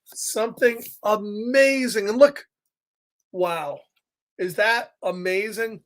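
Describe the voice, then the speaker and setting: Slow-paced, high-voiced man reading a storybook. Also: fairly bright tone, a little hiss or hum. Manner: chatty, exclamatory, asks listeners questions. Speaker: children's story reader and host. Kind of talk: live picture-book read-aloud with casual asides.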